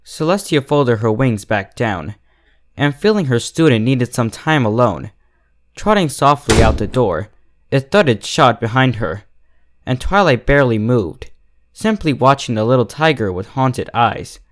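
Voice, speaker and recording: Man, story narrator, dramatic fiction reading, average pitch, expressive intonation. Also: unhurried. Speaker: fan fiction reader.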